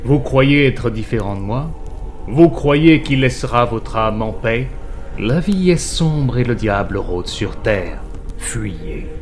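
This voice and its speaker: A man's voice: medium pitch, lively intonation, slow. A man playing a grim, ominous narrator of a horror audio drama, solemn and literary in delivery. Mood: grave, foreboding. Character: grim, ominous male narrator warning listeners away. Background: faint music.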